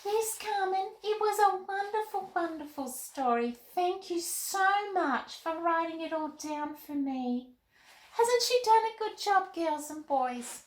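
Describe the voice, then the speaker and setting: Squeaky, excitable little girl doll. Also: slow, echoey room, full character voice. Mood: delighted. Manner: gushing, exclaiming, addressing children. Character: Molly, excitable nine-year-old girl doll, sweet and eager. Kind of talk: puppet-style children's show banter.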